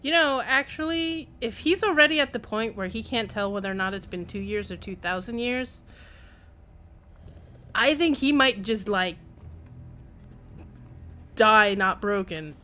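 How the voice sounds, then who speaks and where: Playful woman streamer, fairly high voice. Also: unhurried, pauses often, bright, forceful tone. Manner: wry, playful, rambling. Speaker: game streamer. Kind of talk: casual live game commentary.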